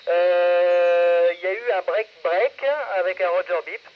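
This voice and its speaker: A man casually hosting an amateur radio net, speaking in halting, hesitant phrases into a radio microphone. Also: high-pitched, steady tone. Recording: muffled radio audio with background noise.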